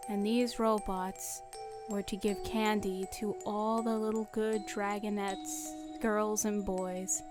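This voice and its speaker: A woman's voice: mid-range voice, close to an everyday voice. A woman voicing a comedic, sarcastic young dragon storyteller in an amateur audio drama. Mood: saccharine, slow.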